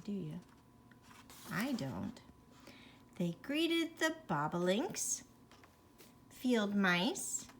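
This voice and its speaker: Slow-paced woman reading a bedtime story. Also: on the high side, slight background noise. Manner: expressive, chatty asides to young listeners. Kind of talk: read-aloud rhyming children's bedtime story with asides.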